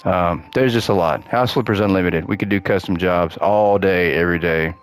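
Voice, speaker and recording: A man with a low voice that keeps cracking because he is losing it. He chats casually and upbeat, counting and thinking aloud while he plays a game. Faint music runs underneath. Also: normal speed, clear, resonant tone. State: losing his voice. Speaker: gaming channel host. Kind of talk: casual gameplay commentary.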